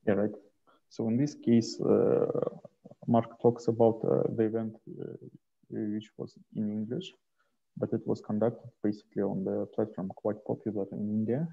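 A man presenting slides informally on a video call, speaking in a hesitant, halting way into a headset. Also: lowish voice, little pitch movement, vocal fry, slightly rough. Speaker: host and presenter of an online community onboarding session. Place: small indoor room, video call. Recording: dull, muffled headset call audio.

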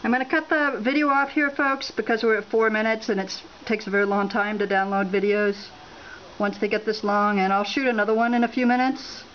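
A woman at a home filming a laptop screen, talking without a script and reading out measurement numbers with many pauses and fillers. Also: mid-range voice, steady tone, lo-fi sound, a little hiss or hum. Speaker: home radiation monitoring vlogger. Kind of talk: unscripted on-camera walkthrough. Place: indoors at a home, beside a laptop.

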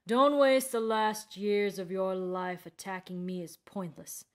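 A woman voicing a cruel, mocking male warrior in armor who wields dark magic, in a told fantasy story. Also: medium pitch, steady tone, measured pace, lightly acted. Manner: taunting, arrogant, shouting when angered.

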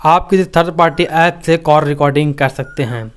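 A man's voice: a little high, steady tone, a little fast, a little hiss or hum. An Indian man narrating a step-by-step phone tutorial in a repetitive, instructional way. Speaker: tech tutorial host.

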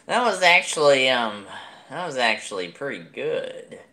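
A young man practises voice acting live on his phone. His talk is slow and halting, often breaking off and restarting, with a very lively pitch. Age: young adult. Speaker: amateur voice actor. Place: small room indoors. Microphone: phone at arm's length.

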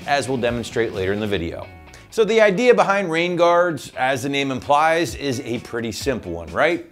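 Upbeat man giving a scripted product review. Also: mid-range voice. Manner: upbeat, salesy, addresses viewers as guys and gang. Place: studio set with branded backdrop.

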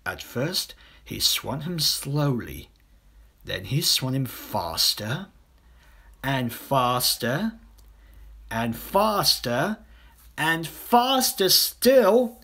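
A man narrating a children's audiobook in an expressive, theatrical storytelling manner. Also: mid-range voice, extremely slow, long pauses, slightly rough.

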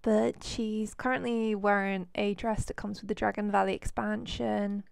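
A woman with a cold, hoarse and losing her voice, chatting casually as she shows off characters in a life-sim game. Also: medium pitch, fairly even intonation, normal speed, dry recording. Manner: chatty, rambling with fillers. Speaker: let's play host.

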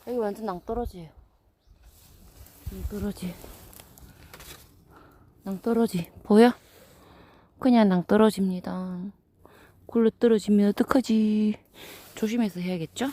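A woman's voice: mid-range voice, steady tone, measured pace, long pauses, dark tone. A woman with a Gyeongsang accent chatting playfully to the camera while picking wild greens on a steep outdoor hillside. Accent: Gyeongsang dialect. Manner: chatty, playful, laughs often. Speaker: vlogger. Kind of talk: casual vlog chat while foraging.

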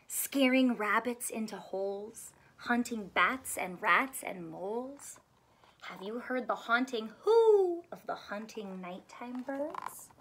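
A woman in her thirties reading a picture book aloud to children in an expressive, sing-song, teacherly way. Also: fairly high pitch, slightly rough. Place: small furnished room indoors.

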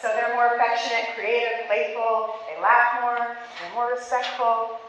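A woman's voice: on the high side, level tone, moderate pace, fairly bright tone, slight background noise. A woman in her thirties, a therapist leading a parenting workshop for a small group, talking with her hands and laughing often. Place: meeting room with flip charts on the walls. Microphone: handheld mic, filmed from across the room. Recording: echoey room heard from a distance.

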